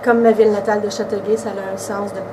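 A woman in her forties from Quebec with a Québécois French accent, answering interview questions in a relaxed, casual way. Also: mid-range voice, quick pace. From Quebec, Canada. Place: indoors by a brick wall. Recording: distant camera mic with background hiss.

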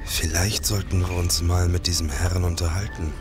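A man's voice: sits low, fairly even intonation, normal speed, over music, close to an everyday voice. A man playing a determined policeman who recounts and acts out his adventures in a period crime radio play. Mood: intent. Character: determined male policeman narrating his own old-time adventures. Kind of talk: scripted narration and dialogue, period audio drama.

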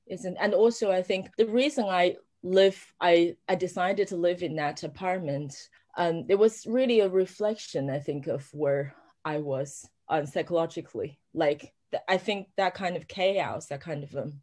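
A woman's voice: lowish voice, normal speed, hardly any pauses, a little mellow. Chatty woman. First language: Chinese. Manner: rambling, many fillers and restarts, laughs often. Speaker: author guest. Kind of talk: casual conversation.

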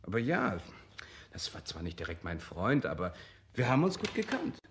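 Opinionated chatty gentleman, radio crime play, emphatic, lowish voice, highly animated intonation. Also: moderate pace, noisy background, full character voice. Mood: excited. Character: chatty, opinionated gentleman, excitable amateur sleuth. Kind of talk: German radio crime drama acting.

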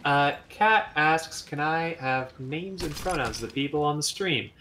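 A woman's voice: sits low, animated, a little slow, a bit bright, a little hiss or hum. A woman chatting and joking with friends during a game stream, reading viewer questions aloud. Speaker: guest streamer. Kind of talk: casual gaming chat.

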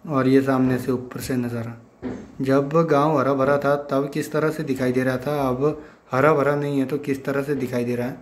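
A man narrates a village walk in a casual vlog style. His pitch is middling and stays very even as he points things out to his viewers like friends. There is some noise in the amateur recording. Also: moderate pace. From Himachal Pradesh hills, northern India. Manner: repetitive show-and-tell, addressing viewers as friends. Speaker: village vlogger.

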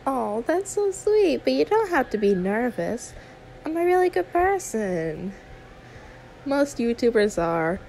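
A woman's voice: on the high side, very animated, slow pace, creaky, a little mellow, a little hiss or hum, heavily acted, rough recording. A woman voicing an anxious, sweet young female YouTuber in an audio roleplay, stammering, awkward and apologetic. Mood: reassuring. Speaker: ASMR roleplay performer. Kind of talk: one-sided ASMR audio roleplay.

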